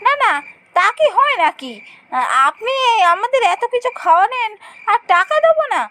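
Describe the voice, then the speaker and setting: A woman voicing an excitable, earnest young female night traveller in an animated horror story. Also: very high voice, normal speed, voice pushed up, full character voice. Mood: puzzled, protesting. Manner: exclamatory, earnest.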